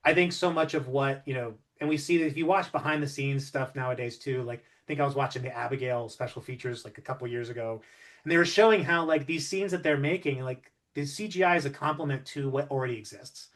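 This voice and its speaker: Man, mid-pitched, interview co-host, casual and discursive, musing delivery. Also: quick pace.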